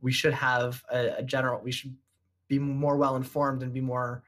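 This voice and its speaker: A man's voice: medium pitch, little pitch movement, moderate pace, a bit bright. A man co-hosting a casual gaming podcast, who explains things steadily and plainly in long sentences. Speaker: podcast co-host.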